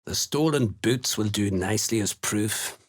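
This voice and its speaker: Fantasy game villain: gruff cult slaver, husky, unhurried, scornful. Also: male voice, on the low side. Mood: menacing. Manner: curt, threatening, contemptuous. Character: gruff, menacing slaver serving a dark cult. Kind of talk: video game character dialogue.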